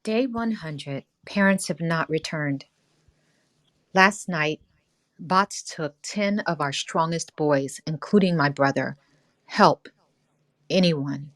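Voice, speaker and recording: A woman playing a serious, worried young woman in a sci-fi screenplay table read, speaking in a measured way. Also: deep voice, no echo. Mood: grave, pleading.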